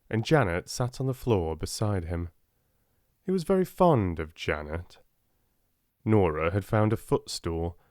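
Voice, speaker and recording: A soft-spoken man with a British accent narrating a storybook in a gentle, expressive way. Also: low voice, dry recording, clean studio sound. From Britain. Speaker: audiobook narrator.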